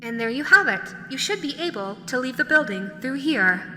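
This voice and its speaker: A woman's voice: high voice, animated, normal speed, pushed and bright, exaggerated voice. Woman as a polite robotic guide in echoing reverb. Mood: politely officious. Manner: formal, instructive, matter-of-fact. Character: polite, officious robotic guide device giving directions. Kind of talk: scripted announcement in character audio roleplay. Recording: long hall reverb, clear background noise.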